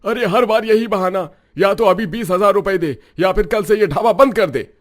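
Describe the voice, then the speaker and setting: A man putting on a shrill bullying landlord. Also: normal speed, natural voice. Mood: sneering, threatening. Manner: taunting, loud, high-pitched. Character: bullying greedy male landlord, loud and sneering. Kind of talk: cartoon voice acting.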